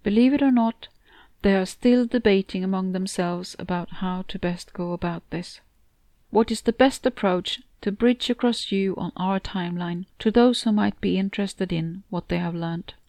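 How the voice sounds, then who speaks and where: A woman voicing a male talking cat in a story, with a gentle, measured, reassuring manner. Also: medium pitch, dry recording, close to an everyday voice. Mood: calm, reassuring. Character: hesitant, reassuring male talking cat, cheekily fond of treats. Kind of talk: storybook character dialogue.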